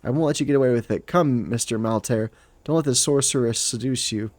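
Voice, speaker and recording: Man acting self-righteous woman, fantasy game villainess, spiteful, accusing, scandalised, mid male pitch. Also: brisk, darkish tone, no room sound, lightly acted. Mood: outraged, accusing.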